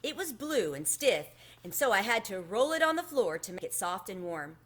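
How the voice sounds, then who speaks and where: A woman reading a children's book aloud expressively, in an animated storytelling manner. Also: mid-range voice, moderate pace. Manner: animated, acts out the dialogue.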